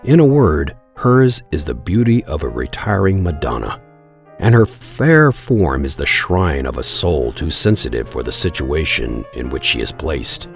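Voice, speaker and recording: A man narrating a Victorian melodrama in old-style radio theatre, formal, measured and theatrical. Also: a bass voice, sing-song, creaky, soft background music, heavily acted. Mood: solemn, dramatic. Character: grave, ornate storyteller of Victorian melodrama.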